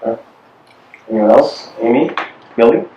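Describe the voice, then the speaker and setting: A man's voice: mid-range voice, normal speed, lo-fi sound, a little hiss or hum. Joking male podcast host, roomy sound. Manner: relaxed, joking questioner. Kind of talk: casual interview. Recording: roomy room, mic at a distance.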